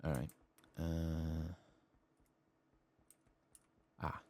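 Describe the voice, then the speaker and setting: A man speaking softly and unhurriedly in an ASMR style as he talks himself through a video game, close to the microphone. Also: monotone. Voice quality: very deep, gravelly, husky. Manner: hushed, unhurried, murmuring aloud to himself. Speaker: game streamer, ASMR host. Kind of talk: soft-spoken ASMR gameplay commentary.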